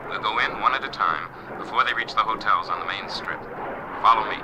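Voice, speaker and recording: A man's voice: on the low side, fairly quick, vocal fry. A man playing a fighter jet flight leader giving clipped radio calls in a 1970s audio drama. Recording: dull lo-fi, noisy 1970s record.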